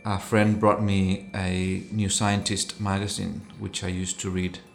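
A middle-aged man from Chile, an associate professor, giving thoughtful interview answers that often pause and restart phrases. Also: deep voice, completely flat delivery, gravelly.

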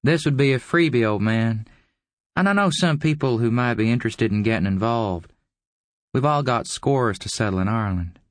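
Man voicing a higher-pitched, cocky Southern villain. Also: moderate pace, dry recording, natural voice. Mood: sly, menacing. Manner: casual, taunting. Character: cocky, mocking male killer-for-hire with easy drawl. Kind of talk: audiobook character acting.

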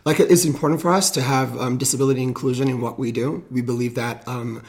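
A man's voice: medium pitch, steady tone. Man, thirties, from South Africa, interview guest, enthusiastic, upbeat. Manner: enthusiastic, upbeat, quick to agree. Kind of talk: casual interview chat.

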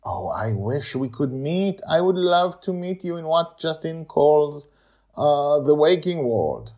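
Man putting on an eager, high-pitched girlfriend voice. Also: sing-song, slow pace, a little mellow. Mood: wistful. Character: eager, sociable female wheelchair racer, coaxing friend.

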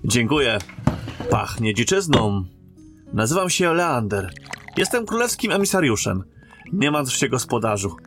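A man acting a courteous royal emissary and captain in a fantasy audio drama, calm and authoritative. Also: mid-range voice, unhurried, long pauses, soft background music.

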